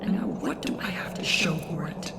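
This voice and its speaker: A woman's voice: deep voice, moderate pace, in a whisper. A woman playing an anguished, lonely figure in an audio drama, lamenting in a theatrical, repetitive way. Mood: grieving. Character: anguished, lonely woman mourning a wasted life. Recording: clear background noise and ambience.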